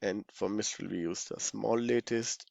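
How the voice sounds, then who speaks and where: A man giving an informal software walkthrough, halting and thinking aloud, often murmuring to himself as he works. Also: on the low side, steady tone, vocal fry, dry recording. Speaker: software tutorial instructor.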